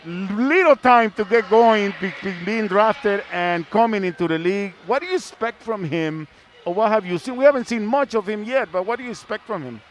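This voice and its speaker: A man's voice: high-pitched, moderate pace. A man hosting a live sports discussion, often repeating phrases as he builds up to his questions. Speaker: sports broadcast host. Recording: faint background noise.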